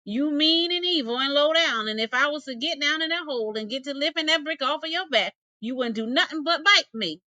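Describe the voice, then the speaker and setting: A woman voicing a gentle, wary old male possum in an animated folktale, with folksy dialect phrasing. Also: high-pitched, brisk, few pauses, no echo, pitched above the performer's own voice. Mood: scolding, suspicious. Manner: folksy Southern dialect grammar, indignant reasoning.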